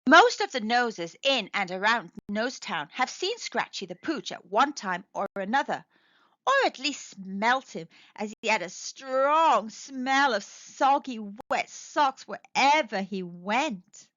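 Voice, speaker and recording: A woman gently and expressively reading a children's storybook aloud. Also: on the high side, sing-song, slow, no room sound, highs cut off. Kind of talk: children's read-aloud narration.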